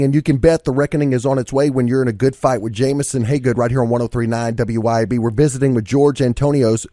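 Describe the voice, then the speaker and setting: Brief: male talk radio host, mellow tone, dry studio sound, announcer delivery. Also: medium pitch, dry recording. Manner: affirming, promo-style announcing. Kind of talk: radio talk show hosting.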